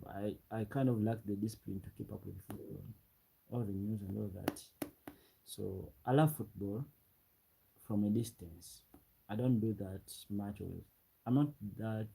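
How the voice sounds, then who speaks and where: A man's voice: lowish voice, normal speed, creaky, slightly rough, poor audio. A young man from Uganda, chatting loosely on a late-night livestream, often repeating himself. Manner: repeats phrases, relaxed and rambling. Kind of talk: late-night livestream chat, reading comments.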